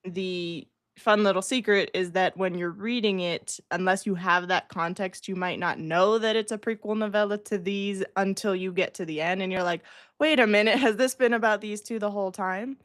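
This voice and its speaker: A woman with a medium-pitched voice chats casually as a livestream co-host. She is talkative, self-deprecating and giggly, recorded dry with hardly any room sound. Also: steady tone, moderate pace, fairly bright tone, clear, resonant tone, no room sound. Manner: chatty, self-deprecating, giggles while talking. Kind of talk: casual chat.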